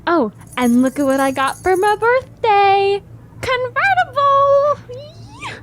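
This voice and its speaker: A woman's voice: very high voice, very animated, slow, background noise, heavily acted. A woman acting a bubbly, materialistic teenage girl in an audio drama, gushing over her words. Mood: excited, gushing.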